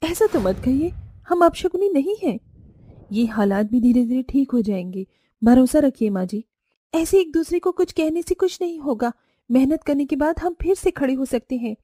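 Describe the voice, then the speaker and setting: A woman's voice: high voice, animated, moderate pace, warm tone, no room sound, voice pushed up. A woman voicing a humble, patient young village daughter-in-law in a cartoon story, polite and earnest. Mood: pleading, reassuring.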